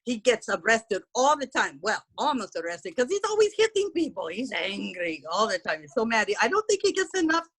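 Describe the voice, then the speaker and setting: A woman doing a loud, gossipy housekeeper who dishes on her celebrity bosses in blunt, broken English. Her voice sits a little high for a woman, chatty and unfiltered. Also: sing-song, a little fast, hardly any pauses, breathy edge, exaggerated voice. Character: brash middle-aged Mexican woman housekeeper, gossipy. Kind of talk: character stand-up comedy.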